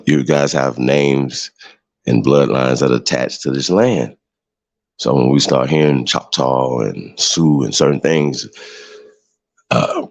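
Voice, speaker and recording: Deep gravelly man, preachy and emphatic. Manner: emphatic, preachy, repeats himself with many "right?" tags. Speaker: show host. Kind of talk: heated livestream monologue.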